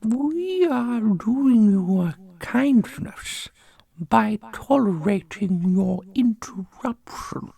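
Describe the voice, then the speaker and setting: A man voicing a defiant, sharp-tongued companion of a dark prince in a fantasy audio roleplay, with an insolent manner. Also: sits high, animated, creaky, slightly rough, no room sound, pitched above the performer's own voice, heavily acted. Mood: sarcastic. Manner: quick, sarcastic, insolent.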